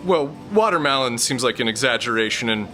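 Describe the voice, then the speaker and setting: A bright, mid-pitched male voice that stammers and hesitates, nervous and eager to please, as a man plays him. It is a comedic audio drama, and faint music plays beneath him. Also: expressive, a little fast. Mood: sheepish.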